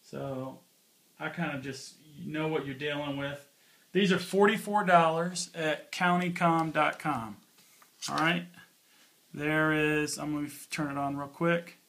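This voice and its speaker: Bright-voiced man doing a casual gear review. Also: a little high, fairly even intonation, a little slow, vocal fry. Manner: informal, offhand. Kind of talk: casual hands-on product review.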